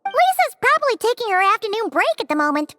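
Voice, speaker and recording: A woman voicing a tiny, chirpy, frank fairy girl with a squeaky childlike voice in an English video game dub. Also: big pitch swings, fast talker, pushed and bright, no room sound, full character voice. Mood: observant, cheerful. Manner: refers to self by name, exclaiming.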